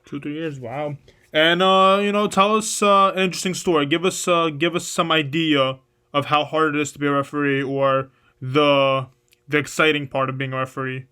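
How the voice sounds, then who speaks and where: A man's voice: fairly high pitch, fairly even intonation, normal speed, sharp tone, clear, resonant tone. A man hosting a podcast, interviewing a guest with hesitant, restarting questions. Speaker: podcast host.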